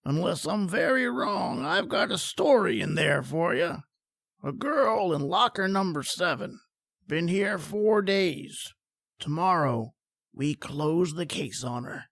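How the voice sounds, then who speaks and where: A woman playing a knowing, wry morgue attendant with a deliberate, drawn-out delivery in a 1940s radio drama. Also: lowish voice, animated, gravelly, dry recording, heavily acted. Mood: wry, matter-of-fact.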